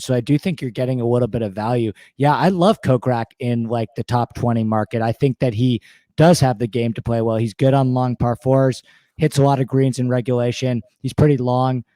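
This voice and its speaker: A man with a hoarse, mellow voice talks as a guest, rolling eagerly through stats and picks. His sound is dry and close, with no room around it. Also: mid-range voice, dry recording. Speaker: guest sports betting analyst. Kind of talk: casual interview chat.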